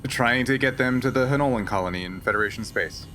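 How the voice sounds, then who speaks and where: A man playing a guarded, resigned smuggler pilot in a tabletop roleplaying game, speaking in a halting, measured way. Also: medium pitch, pushed and bright, lightly acted. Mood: matter-of-fact, defiant. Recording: faint background noise.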